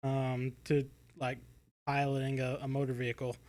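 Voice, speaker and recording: A man co-hosting a podcast in a casual review chat, thinking aloud in halting phrases peppered with "like". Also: mid-range voice, completely flat delivery, darkish tone. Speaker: podcast co-host.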